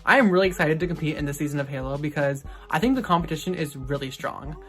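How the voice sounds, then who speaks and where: A man's voice: fairly high pitch, fast talker, fairly bright tone, soft background music. An eighteen-year-old male contestant speaking in a blunt, self-assured confessional. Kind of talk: confessional interview.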